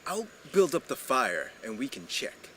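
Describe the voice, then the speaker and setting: Man playing young mountain husband in a folk tale: plain-spoken, protective, forceful voice, slightly noisy live recording. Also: medium pitch, very animated, a little slow. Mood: drowsy, puzzled. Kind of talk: storytelling character dialogue.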